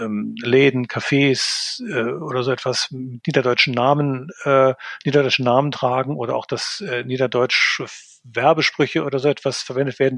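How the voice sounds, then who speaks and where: A man answering interview questions as an expert, speaking near-standard German in a measured way with frequent hesitations. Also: medium pitch, vocal fry, a bit bright, no room sound, clean studio sound. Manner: measured, hesitant with many fillers. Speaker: linguist guest.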